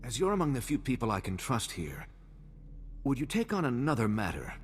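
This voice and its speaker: Expressive earnest male diplomat. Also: mid-range voice, fairly quick, long reverb tail, slight background noise. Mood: troubled, grateful. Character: earnest, principled male Republic diplomat, measured and troubled. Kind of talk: video game cutscene acting.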